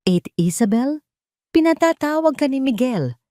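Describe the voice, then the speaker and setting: A woman's voice: sits high, sing-song, normal speed, warm tone, dry recording, studio quality, pitched above the performer's own voice. A woman voicing a scheming mistress with an affected, coaxing sweetness in an audio drama. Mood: haughty. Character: sweet-voiced, scheming mistress of a rich man.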